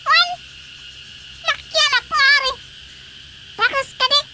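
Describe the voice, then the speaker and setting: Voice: very high voice, fairly even intonation, takes it slowly. An actor playing an insistent comic character in an Ilocano radio drama comedy, scolding and urging. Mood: explaining. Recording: very noisy radio drama with animal sounds.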